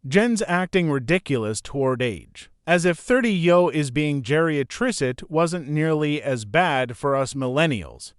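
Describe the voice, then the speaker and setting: A man reading forum posts and replies aloud in a steady, even narration. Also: a little high, a little slow, hardly any pauses, clear, resonant tone, no room sound. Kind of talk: scripted narration.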